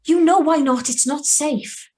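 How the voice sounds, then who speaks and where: A woman as an anguished high-voiced young heroine. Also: measured pace, darkish tone. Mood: alarmed, hostile. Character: anguished, guilt-ridden young woman hiding a secret. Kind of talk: live radio drama reading with first-person narration.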